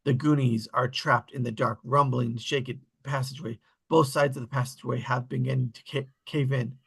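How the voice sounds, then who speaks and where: A man's voice: mid-range voice, fairly even intonation, normal speed, gravelly, breathy edge. A man reading screenplay stage directions as scripted narration at a table read.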